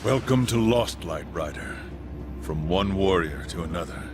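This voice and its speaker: An actor playing a loyal angel soldier who speaks in a formal, earnest and courteous way in a video game cutscene. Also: male voice, low voice, lively intonation, normal speed, over music. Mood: solemn. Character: loyal male angel warrior, solemn soldier.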